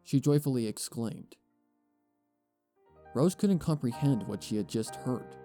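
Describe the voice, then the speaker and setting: A man's voice: medium pitch, lively intonation, a little slow. A man giving scripted storybook narration as the narrator of a fan fiction audio reading. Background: music.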